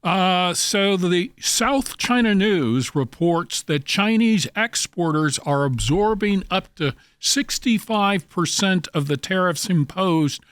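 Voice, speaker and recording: A man speaking as an expert commentator in an interview, halting and deliberate as he explains economics. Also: fairly high pitch, no echo.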